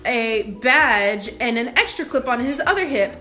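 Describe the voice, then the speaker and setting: A young woman with a high, bright voice tells a personal story casually and emphatically, like a vlogger. She sounds indignant and animated, with faint music behind her. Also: unhurried.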